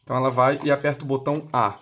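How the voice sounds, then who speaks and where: A man's voice: mid-range voice, flat delivery, rapid-fire, a bit bright, soft background music. A man with a Brazilian accent demonstrating a product step by step, explaining by asking and answering his own questions. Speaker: product demonstrator for motorcycle intercom seller.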